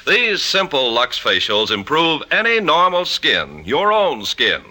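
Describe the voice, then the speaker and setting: An American man works as a 1950s radio announcer. His voice is very bright and forceful, rising and falling in animated, polished sales patter. Every phrase is scripted and emphatic. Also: a little high, unhurried.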